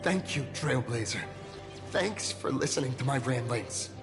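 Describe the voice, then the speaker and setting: A man's voice: medium pitch, expressive, unhurried. An actor playing an earnest, insecure young man who longs to be a hero, sincere and hesitant, in a video game. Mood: grateful. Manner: sincere, hesitant, self-deprecating. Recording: long reverb, music behind.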